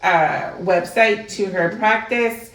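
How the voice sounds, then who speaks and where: A woman's voice: mid-range voice, slow, fairly bright tone, recorded from a distance. A woman whose first language is Spanish, from Virginia, co-hosting a casual podcast in a chatty, laughing way. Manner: chatty, laughs easily, restarts sentences. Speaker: podcast co-host. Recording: echoey room.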